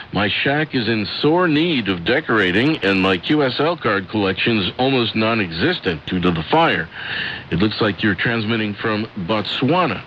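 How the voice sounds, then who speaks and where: An American man hosting a shortwave radio programme, reading listener letters and announcements with clear enunciation. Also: fairly low pitch, fairly bright tone, slight background noise, rough recording. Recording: shortwave radio broadcast with music.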